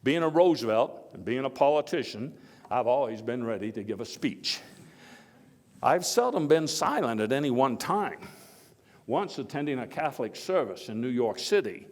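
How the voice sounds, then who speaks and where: Male reenactor as genial historical statesman, medium-pitched man's voice, folksy halting monologue, wry asides, oratorical emphasis, long hall reverb. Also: big pitch swings. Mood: jovial. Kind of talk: live first-person historical monologue, speech to an audience.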